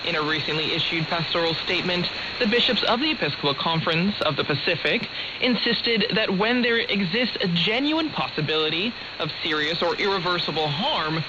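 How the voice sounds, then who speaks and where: A woman's voice: lowish voice, expressive, fairly quick. A woman presenting radio news, reading scripted introductions to reports. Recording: muffled shortwave radio with clear static.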